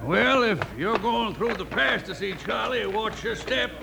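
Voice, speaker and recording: Crusty old west sheriff, gravelly and swoopy, wary drawl, radio drama. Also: male voice, high-pitched, expressive, a little slow, background noise, full character voice. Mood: grim warning. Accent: American Western drawl. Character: crusty, wary small-town western sheriff. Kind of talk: old-time radio western acting.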